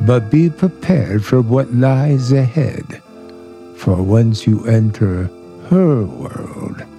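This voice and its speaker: A man giving an ominous, grave scripted introduction to a suspense drama, deliberate and dramatic. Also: mid-range voice, expressive, dark tone, soft background music.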